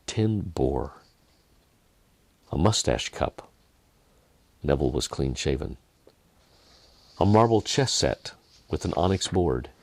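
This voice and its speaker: A man reading his own Christmas story in a dramatic, expressive way, with deliberate pauses. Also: low voice, no room sound. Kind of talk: scripted Christmas story narration.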